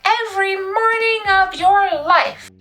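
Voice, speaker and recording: A woman voicing an exasperated, gushing mother in a storybook reading, shrill and emphatic. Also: takes it slowly, sharp tone, faint music behind, exaggerated voice. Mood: exasperated scolding.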